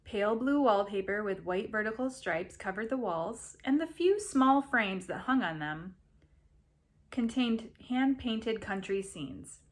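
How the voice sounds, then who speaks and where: A woman reading a story aloud as an expressive narrator. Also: medium pitch, a little slow. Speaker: reader of a story.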